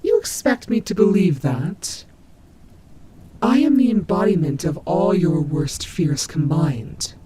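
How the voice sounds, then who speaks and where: A woman's voice: low-pitched, background noise. A woman voicing a menacing, taunting nightmare animatronic rabbit in a scripted audio roleplay, with a mocking, theatrical delivery. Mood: flustered, incredulous. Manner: slow, mocking, theatrical drawl with whispered asides.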